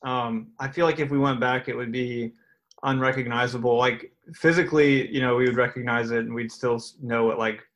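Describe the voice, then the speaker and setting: Young male host, mid-pitched, laid-back question style. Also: steady tone, brisk, a bit bright, highs cut off. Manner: easygoing, filler-heavy questions. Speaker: podcast host. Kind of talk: casual interview.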